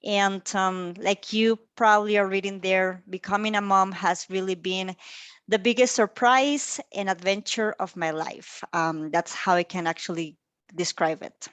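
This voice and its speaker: A woman's voice: mid-range voice, steady tone, a little slow, no echo. A woman in her thirties from Costa Rica giving an online presentation to colleagues over a video call, chatty, with frequent ums. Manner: warm, chatty, filler-heavy with frequent ums. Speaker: guest speaker.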